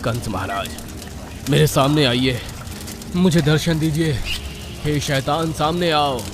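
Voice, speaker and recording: Man acting an evil school principal, melodramatic, menacing, fervent, slow delivery, animated story villain, music. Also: fairly high pitch, noisy background. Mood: fervent chanting. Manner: chanting, pleading, menacing. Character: power-hungry evil school principal, devil worshipper.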